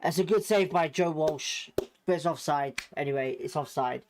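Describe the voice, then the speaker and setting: A man's voice: a little high, normal speed, noisy background. A man with a British accent giving informal live football commentary as a fan host, blunt, slangy and sweary in his reactions.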